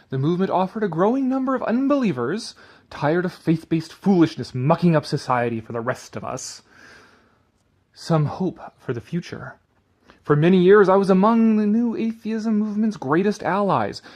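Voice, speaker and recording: A man with a slightly high voice performs a dramatic reading peppered with sarcastic commentary. His pitch moves in lively swings as he goes from theatrical declaiming to exasperated muttering. He swears freely and casually. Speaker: commentator reading an article aloud.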